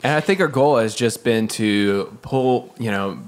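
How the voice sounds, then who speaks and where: A man co-hosting a podcast in casual chat, halting and full of "you know". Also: medium pitch. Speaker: podcast co-host.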